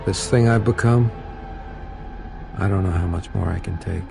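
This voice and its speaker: Gravelly, brooding tormented soldier. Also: male voice, low voice, normal speed, music in the background. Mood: weary, bitter. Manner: brooding, terse inner monologue. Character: grim, tormented male soldier turning mutant. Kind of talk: video game narration in character.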